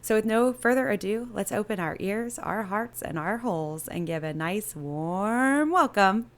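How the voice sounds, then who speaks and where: A woman's voice: mid-range voice, lively intonation, unhurried. A woman hosting a podcast in a casual, chatty, informal way with playfully crude humour. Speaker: podcast host.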